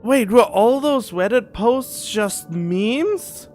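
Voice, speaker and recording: A man doing casual live commentary on a game, reading dialogue aloud and puzzling over it as he thinks out loud. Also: extremely high pitch, lively intonation, takes it slowly, faint music behind. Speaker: game streamer.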